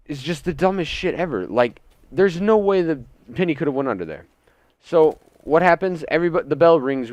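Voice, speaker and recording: Animated young guy telling a story. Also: mid-range voice, no echo. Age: teens to young adult. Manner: indignant, sweary, exaggerating storyteller. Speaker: gaming YouTuber. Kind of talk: casual story time over gameplay. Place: indoors at home.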